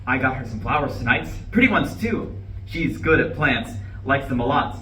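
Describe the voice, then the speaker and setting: A man's voice: medium pitch, expressive, moderate pace, sharp tone. A man reading the part of an earnest, defensive office-worker husband in a live play reading, with a hesitant, over-explaining manner. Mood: hopeful, chatty. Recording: distant mic, echoey room, background noise.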